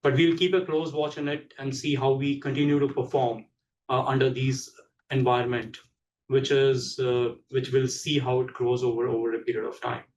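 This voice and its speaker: A man speaking as a company executive, giving a measured, formal business presentation. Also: little pitch movement, a little mellow. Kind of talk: prepared business presentation on an earnings call. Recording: distant mic, dull sound, low rumbling noise.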